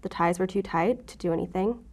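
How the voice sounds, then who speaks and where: A woman's voice: fairly low pitch, a little fast, darkish tone. An actress playing a wounded, guarded female FBI agent who talks with sardonic defiance in an audio drama. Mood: bleak, pained. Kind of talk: audio drama acting, confessional.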